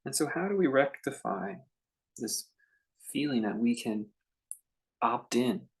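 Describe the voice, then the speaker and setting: A man leading a guided meditation as a teacher, speaking in a contemplative, unhurried way with long pauses between short phrases. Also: medium pitch, animated, creaky.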